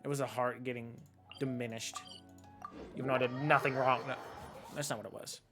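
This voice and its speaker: A man giving casual, jokey gameplay commentary as a streamer, goofy and excitable, mimicking characters as he goes. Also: mid-range voice, fairly quick, over music. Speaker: game streamer.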